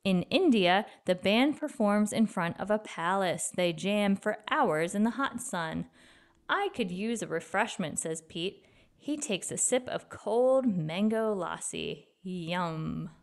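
A woman's voice: medium pitch, animated, slow, clean tone. Woman reading a children's picture book. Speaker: read-aloud storyteller for children.

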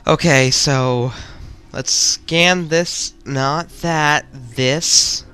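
A man giving casual, excitable, rambling commentary while playing through a video game. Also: on the high side, drawn-out words, smooth, faint music behind. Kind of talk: casual let's-play commentary.

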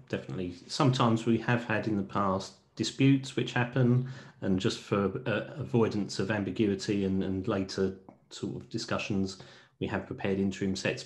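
A middle-aged man, an accountant, hosting a professional discussion over a video call, measured and asking colleagues for their views. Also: sits low. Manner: measured, asks colleagues questions. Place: home room with teal wall. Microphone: earphone headset on video call.

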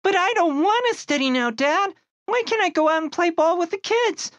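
A woman's voice: extremely high pitch, lively intonation, moderate pace, dry recording, highs cut off. A woman voicing a pleading, adoring eight-year-old boy in a comic-book audio drama.

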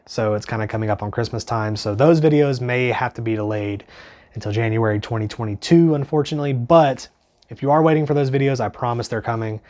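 A man's voice: medium pitch, brisk, darkish tone. Congested young man reviewing gear. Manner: chatty, filler-heavy, earnest. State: congested, stuffy from a cold. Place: small home studio bedroom with guitars.

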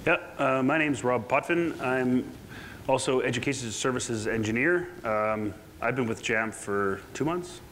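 A man's voice: mid-range voice, measured pace, a bit bright, slight background noise. A man from Canada, a co-presenter on stage, introducing himself briefly and hesitantly. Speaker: engineer co-presenter. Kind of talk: conference talk. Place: large stage.

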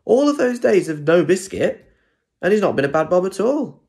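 A man voicing an excitable storybook family who cry out loudly and dramatically. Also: sits high, normal speed, pitched above the performer's own voice. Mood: pitying. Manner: loud, dramatic cries. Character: excitable family crying out in chorus.

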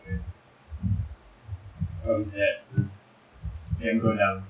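A man co-hosting a let's play, in casual gaming banter, with jokey, rapid, repeated callouts to his partner. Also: fairly low pitch, level tone, muffled recording, a little hiss or hum. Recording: roomy echo.

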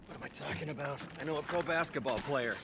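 An actor playing a brash, stubborn, greedy young hustler in a video game cutscene. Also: male voice, on the high side, fast talker, very noisy, natural voice. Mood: cocky. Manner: brash, casual.

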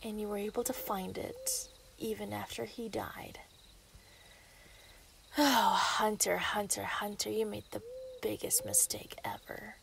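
Woman doing a creaky yandere werewolf girl, slow taunting asmr villain, mocking and possessive, bit of background hiss. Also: mid-range voice, expressive. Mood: gloating menace. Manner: taunting, drawn-out, laughing delivery with whispered threats. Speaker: roleplay voice actor. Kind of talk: scripted ASMR roleplay acting.

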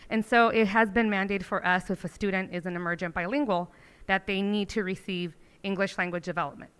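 A middle-aged woman presenting a school language program to a board in a meeting room, explaining in a measured, emphatic way. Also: mid-range voice, sharp tone, clean tone.

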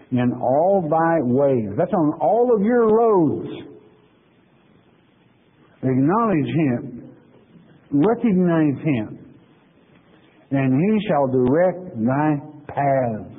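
A man pastor giving a folksy, conversational sermon, reading verses slowly and then explaining them plainly, often checking in with "okay?". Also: medium pitch, husky, phone-quality sound. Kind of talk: sermon lecture on scripture.

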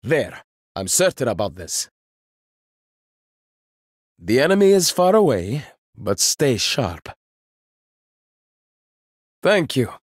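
An actor voicing a proud, witty young male inventor-warrior in a video game, speaking in measured, confident lines with dry wit. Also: medium pitch, sing-song, husky, no room sound, full character voice. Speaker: game character.

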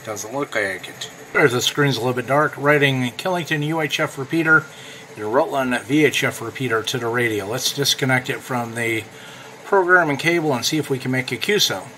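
A man's voice: medium pitch, a little fast, rough recording. An American man giving a casual, matter-of-fact review as an amateur radio hobbyist. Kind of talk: casual product review narration. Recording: bright recording with clear background noise.

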